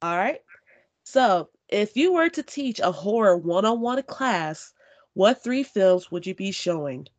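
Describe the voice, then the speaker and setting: A woman's voice: medium pitch, animated, measured pace. Woman host, kinda stuffy from allergies, laid back and swears a lot. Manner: casual, swears freely. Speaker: podcast host. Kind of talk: casual podcast chat.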